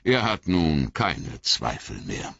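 A man reading an audiobook voices an old wizard who speaks gravely and kindly, slow and measured. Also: a bass voice, big pitch swings, fairly bright tone, dry recording, muffled recording, full character voice.

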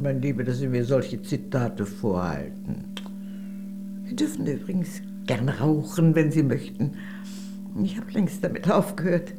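A woman's voice: a bass voice, exaggerated voice. An actress playing a worldly, gracious older lady, a former communist, in a radio play, speaking in a genteel, arch, confiding way. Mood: arch, teasing.

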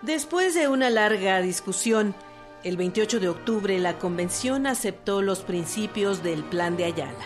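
A woman's voice: mid-range voice, unhurried. Woman narrating a historical radio drama. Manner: clear, even storytelling. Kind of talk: scripted narration. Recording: faint music underneath.

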